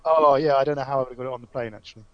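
A man's voice: mid-range voice, steady tone, very fast, dull audio, a loud crowd. A man taking his turn as a player in a tabletop game, hesitant and backpedaling. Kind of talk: playing a character in a tabletop game.

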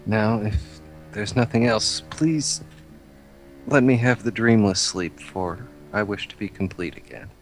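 A man's voice: lowish voice, measured pace. A man acting a courtly, loving undead patchwork man in an amateur radio play, speaking in a formal, theatrical way. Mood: tender, courtly. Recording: music behind the voice.

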